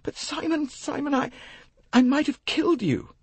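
Man voicing a very high, anguished young woman. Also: moderate pace, a little mellow, dry recording. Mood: anguished remorse. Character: distraught, remorseful young woman.

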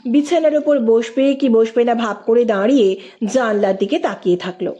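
A woman's voice: on the high side, moderate pace, mellow, dull audio. A woman reading a literary story aloud as a scripted narrator.

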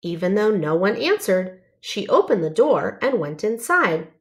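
A woman's voice: medium pitch, very animated, unhurried. A woman narrating a children's storybook, reading aloud carefully and expressively.

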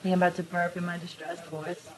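A woman's voice: fairly low pitch, flat delivery, a little fast. A young woman joking casually into a computer microphone in a living room, with noisy webcam audio. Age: young adult. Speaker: vlogger. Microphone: built-in computer microphone.

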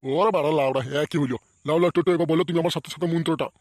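A man's voice: fairly high pitch, fairly even intonation, racing, darkish tone, no echo, studio quality, natural voice. A man voicing a bossy, scolding, foul-mouthed older male character in a slapstick comedy cartoon. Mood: bossy, mocking. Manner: scolding, blustering, swearing.

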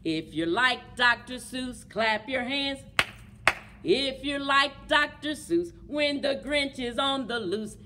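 A middle-aged woman hosting a children's story time in an animated, encouraging way, as if leading little listeners along. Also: high-pitched, slow pace. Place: indoors among library bookshelves. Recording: clear background noise, room ambience.

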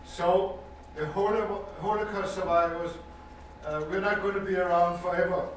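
An older man from Denmark with a Danish accent gives earnest, measured personal testimony as a survivor speaking to an audience, stressing points by repeating words. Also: high-pitched, steady tone, a little hiss or hum. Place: university lecture hall with audience. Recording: echoey hall recording from far off.

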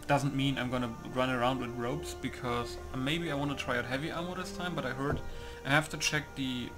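A man with a mid-range voice talks casually through a game, thinking aloud as he goes. He mutters hesitantly to himself, with music clearly playing behind him. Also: medium pitch, steady tone, a little fast. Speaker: gamer hosting a playthrough.

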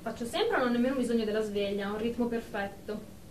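A woman's voice: a little high, fast, darkish tone, close to an everyday voice. An actress playing a haughty, easily offended professional woman in a radio drama, speaking in a formal, superior, indignant manner. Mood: self-satisfied. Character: haughty, prim, easily offended female office professional in therapy. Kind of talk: Italian radio drama acting. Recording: echoey room, distant mic, background noise.

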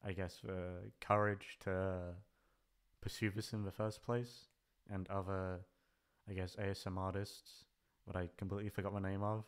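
Low-voiced man rambling casually. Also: little pitch movement, moderate pace. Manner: hesitant, rambling, swears casually. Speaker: ASMR voice actor, channel creator. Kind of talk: rambling casual farewell chat.

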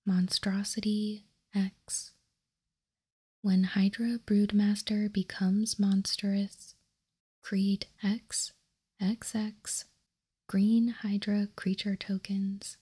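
A woman whispering softly and unhurriedly in ASMR narration as she hosts a tabletop card showcase. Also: mid-range voice, little pitch movement, slightly rough. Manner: soft, slow, unhurried whispering. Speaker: ASMR card game video host.

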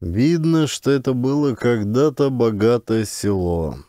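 A man's voice: mid-range voice, animated, measured pace, mellow, no echo, clean studio sound. A man reading a literary memoir as a scripted audiobook narration.

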